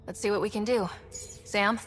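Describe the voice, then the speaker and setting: An actress playing a young human space explorer in a video game, earnest and determined. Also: female voice, mid-range voice, fairly even intonation, moderate pace, over music, close to an everyday voice. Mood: awed, hopeful. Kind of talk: naturalistic video game acting.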